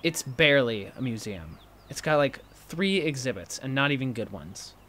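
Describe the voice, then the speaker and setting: An actor playing an inquisitive, teasing college student in a fiction podcast, with a prodding, excitable manner. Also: male voice, medium pitch, moderate pace, a little hiss or hum. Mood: pensive. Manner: prodding, animated, incredulous.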